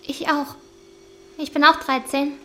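A woman's voice: high-pitched, level tone, unhurried, pushed and bright, slight background noise. A woman playing an earnest, insistent thirteen-year-old girl in a wartime audio drama. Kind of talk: German audio drama acting.

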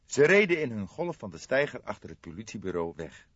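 A man's voice: on the low side, big pitch swings, brisk, a bit bright, breathy edge, no room sound, lo-fi sound. A man reading scripted story narration as an audiobook narrator, close to the microphone.

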